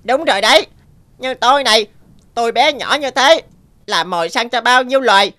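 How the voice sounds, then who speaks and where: A woman voicing an indignant, aggrieved bird in an animated fairy tale. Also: on the high side, moderate pace.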